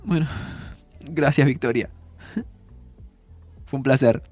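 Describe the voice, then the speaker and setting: An actor playing a cheeky, warm-hearted young Argentine man who travels in time, in a naturalistic audio drama. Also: mid-range voice, slow, breathy edge, soft background music. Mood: hesitant, earnest. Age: young adult.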